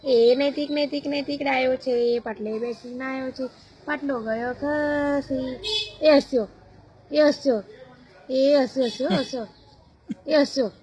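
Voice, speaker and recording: High-voiced Gujarati woman doing slow baby talk. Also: steady tone, darkish tone. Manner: repetitive, sing-song cooing to a baby. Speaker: caregiver playing with a baby. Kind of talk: playful baby talk with sing-song nursery rhymes and chants. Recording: dull, lo-fi home recording.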